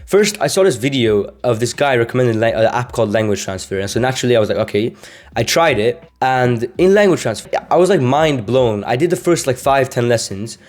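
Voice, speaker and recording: A man's voice: medium pitch, animated, a little fast. A young man from Sweden, a language-learning vlogger, talking casually to camera, rambling with many fillers and restarts.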